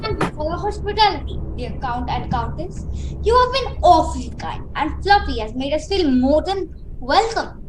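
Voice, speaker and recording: A woman's voice: high-pitched, very animated, normal speed, noisy background. A woman playing a polite, plucky but nervous young boy in a radio play, speaking earnestly. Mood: formally polite. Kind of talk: amateur radio play acting. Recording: echoey room, music behind.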